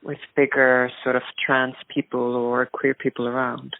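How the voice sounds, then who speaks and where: A woman with an Icelandic accent answers interview questions in a thoughtful, hesitant way. Her intonation stays very even. The recording is dry and narrow, like a call. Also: very deep voice, normal speed, vocal fry, no room sound. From rural Iceland. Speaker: interview guest, activist and filmmaker. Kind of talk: reflective interview talk.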